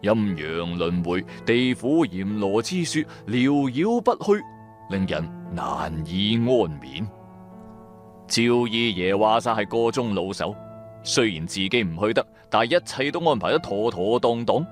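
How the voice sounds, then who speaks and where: Radio drama lead: wry, streetwise businessman narrator, Cantonese, colloquial and highly expressive over soft music. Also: measured pace. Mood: grave, then storytelling. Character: streetwise, wry businessman turned tomb raider. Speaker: narrator and main character of an audio drama.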